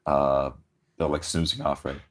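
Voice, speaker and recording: A man's voice: very deep voice, flat delivery, moderate pace, slight background noise. A man chatting casually as a player in a tabletop game group. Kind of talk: casual chat.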